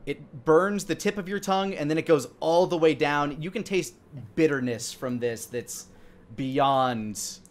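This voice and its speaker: A man in his thirties narrating a tabletop role-playing game as game master, in a halting, hesitant way. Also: fairly high pitch. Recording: clear background noise.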